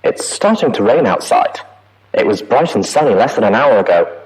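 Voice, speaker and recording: A man playing an anguished pony prison inspector recording an audio log, with a wavering, pleading, halting delivery. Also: on the low side, big pitch swings, darkish tone, rough recording. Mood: torn, worried. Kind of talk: dramatic audio-log monologue.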